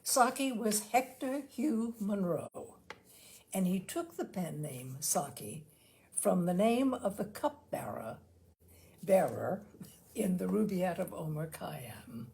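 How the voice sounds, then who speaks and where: A woman host reading short stories aloud with deliberate, expressive phrasing. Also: fairly low pitch, gravelly, a little hiss or hum. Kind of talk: storytelling, read-aloud narration.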